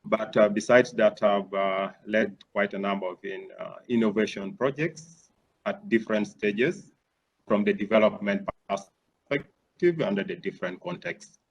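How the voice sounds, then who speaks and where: A man from Uganda introducing himself as a youth engagement manager on a video call, energetic. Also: lowish voice, normal speed, highs cut off. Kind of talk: self-introduction on a panel.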